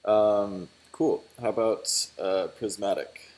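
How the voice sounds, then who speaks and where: A man moderates a video call, thanking each team and calling on the next. His voice is low. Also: fairly even intonation, takes it slowly, a little mellow, slight background noise.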